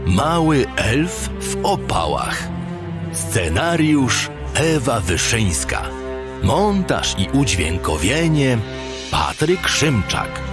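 A man narrating the close of a children's audio play, slow and lively in pitch. Loud music plays beneath him.

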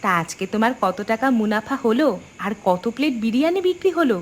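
A woman's voice: a little high, very animated, quick pace, slight background noise. A woman voicing a supportive, practical village wife and cook in a cartoon story. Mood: encouraging. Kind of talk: Bengali cartoon story dialogue.

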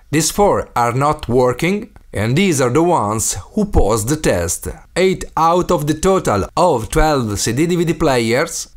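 A man from Italy with an Italian accent and non-native English phrasing, narrating a scripted DIY tutorial as the host. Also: fairly high pitch. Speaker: DIY video maker and host.

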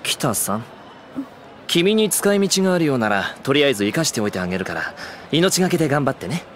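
A man's voice: mid-range voice, sing-song, a little fast, fairly bright tone, a little hiss or hum. A man playing a polite but coolly menacing teenage boy in an anime audio drama, breezy and courteous. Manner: breezy, courteous while threatening.

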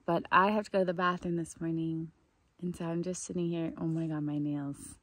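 A woman's voice: lowish voice, flat delivery, a little fast, a bit bright, no room sound. A young woman talking in a chatty, informal, rambling way, like a casual video diary recorded on a phone out in the woods. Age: young adult. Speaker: vlogger hiking a long trail. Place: outdoors in woodland. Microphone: phone at arm's length. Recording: faint outdoor ambient noise.

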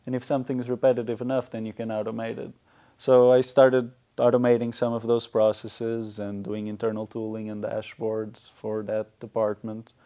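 Male guest, Portuguese accent, thirties, gravelly and mellow, in conversational interview. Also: on the low side, level tone, moderate pace. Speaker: interview guest, software tech lead. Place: small indoor talk show studio.

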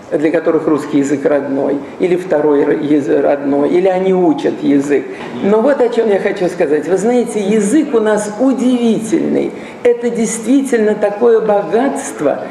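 Older woman at panel, gravelly and echoey. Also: fairly low pitch, warm tone. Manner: earnest, conversational, addressing the speaker. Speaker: panelist at a round table. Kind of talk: spontaneous remarks at a round table. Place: conference hall panel table. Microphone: table microphone. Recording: echoey hall, faint background noise.

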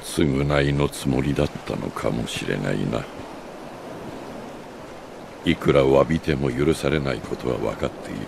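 A very deep, slow-speaking old sage from a video game. He is solemn and wise, with a gravelly edge and grave, measured phrasing. A steady ambient noise sits behind him. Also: exaggerated voice. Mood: remorseful. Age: older. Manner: grave, measured, philosophical delivery with deliberate pauses. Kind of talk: Japanese video game cutscene acting.

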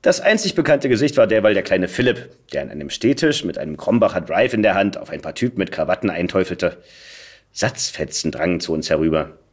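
A man giving an expressive reading of a satirical first-person story, with a dry, wry comic delivery. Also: low voice, brisk, husky. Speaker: podcast guest, voice actor.

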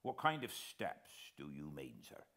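A man's voice: extremely low pitch, wildly swooping pitch, unhurried, a bit bright, exaggerated voice. A British man voicing a respectful, musing old coast guard officer in a storybook reading. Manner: deferential, musing, helpful.

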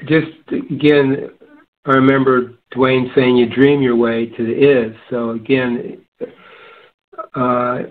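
A man in casual spiritual discussion, talking in a halting, searching way and often rephrasing himself. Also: medium pitch, flat delivery, phone-quality sound. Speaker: discussion panelist.

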